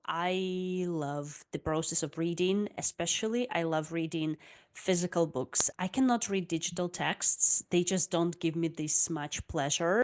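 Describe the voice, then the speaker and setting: A woman's voice: low-pitched, darkish tone. A woman, an online English tutor talking casually to her viewers. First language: Russian. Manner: chatty and personal, with frequent "like" and "uh". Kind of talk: casual talk to camera.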